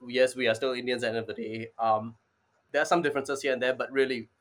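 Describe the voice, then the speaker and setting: A man's voice: mid-range voice, fairly even intonation, quick pace, fairly bright tone. A man, answering casually as an interview guest and often saying "you know". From Singapore. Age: 20s. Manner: informal, repeats "you know". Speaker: interview guest, startup advisor.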